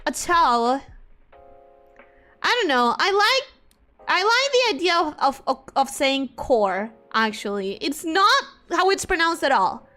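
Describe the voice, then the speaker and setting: A young woman streamer chatting casually with viewers, voice swinging from high squeals down to low mutters. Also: sing-song, takes it slowly, pushed and bright, faint music behind. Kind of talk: casual chat reading viewer messages.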